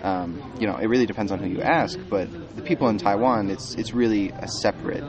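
A late-teens man from Minnesota talks casually outdoors in a city, with a busy crowd murmuring clearly behind him. His voice sits a little low, and he explains things with care, restarting now and then. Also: a little fast. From Minnesota, USA. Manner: explanatory, thoughtful, with some restarts. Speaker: traveler in conversation. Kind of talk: casual chat.

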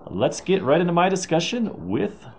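A man hosting a travel podcast, talking in a relaxed, chatty way and thinking aloud with fillers while interviewing a guest. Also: sits high, moderate pace, a little hiss or hum. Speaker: podcast host. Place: hostel in rural China.

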